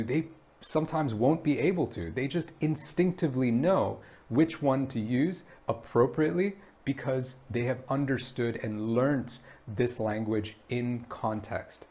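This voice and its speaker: Man explaining lessons in a steady, clear voice. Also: medium pitch, moderate pace. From Brunei. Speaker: English teacher. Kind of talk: direct-to-camera course introduction.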